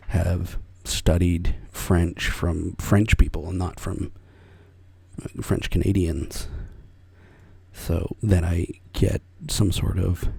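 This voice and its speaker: An American man talking soft-spoken for ASMR, often slipping into a whisper, rambling and correcting himself as he goes. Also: deep voice, vocal fry, husky, no room sound. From United States. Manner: meandering, self-correcting, chuckles softly. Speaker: ASMR creator.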